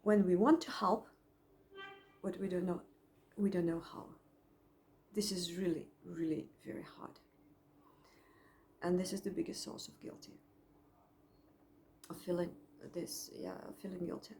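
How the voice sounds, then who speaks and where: A middle-aged woman with a Russian accent, a psychologist speaking at home in a thoughtful, halting way, with frequent pauses and restarts. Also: on the low side, fairly even intonation, mellow. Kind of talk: personal reflection to camera.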